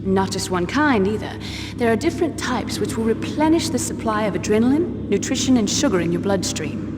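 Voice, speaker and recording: An actress voicing a cool, proud scientist in a video game, giving precise, composed technical briefings. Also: female voice, mid-range voice, animated, brisk, natural voice. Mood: clinical, composed. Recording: long echoing reverb, music underneath.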